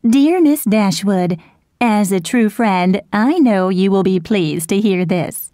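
A woman's voice: mid-range voice, animated, takes it slowly, mellow, no room sound, studio quality. A woman reading the letter of a sugary, scheming young woman in a sweetly formal manner.

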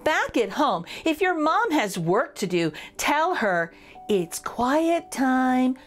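Slow, animated woman reading to children. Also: high voice, faint music behind. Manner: addresses young listeners directly, playful. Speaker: picture-book reader for children. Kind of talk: expressive children's read-aloud.

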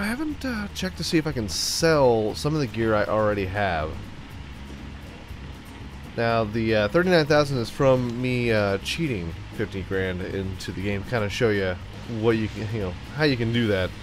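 A young man with a clogged, stuffed-up voice talks through a game he's playing. He's goofy and loose, cracks jokes and breaks into little sung riffs. Faint game music plays softly behind him. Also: mid-range voice, lively intonation, moderate pace. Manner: goofy, jokey, sings and riffs to himself. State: stuffed up from a cold. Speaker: gaming YouTuber. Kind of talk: playful let's-play commentary.

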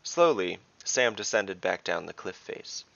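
A man with a fairly low, bright voice narrates and referees a fantasy game. His delivery has a gravelly creak at the edges, and he sounds like the one steering the tale. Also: expressive, a little slow, no echo, muffled recording. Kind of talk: scripted story narration and tabletop game refereeing.

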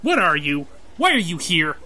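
A man's voice: fairly high pitch, big pitch swings, moderate pace, bright, forceful tone, full character voice. A man playing a suspicious, exasperated reporter in a comic-book dub, curt and indignant in manner. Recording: noisy recording with clicks.

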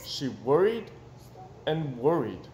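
A man in his thirties reads a children's story aloud. His voice sits fairly high and swings widely for dramatic emphasis. The room adds noticeable echo and some noise. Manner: animated, dramatic pauses and emphasis. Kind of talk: expressive children's picture-book read-aloud.

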